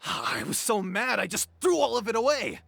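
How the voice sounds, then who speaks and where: An actor playing a brash, cowardly young bandit in a video game, whiny, cocky and theatrical. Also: male voice, high-pitched, huge pitch swings, moderate pace, vocal fry, fairly bright tone, dry recording, exaggerated voice. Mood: panicked. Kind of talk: video game character acting.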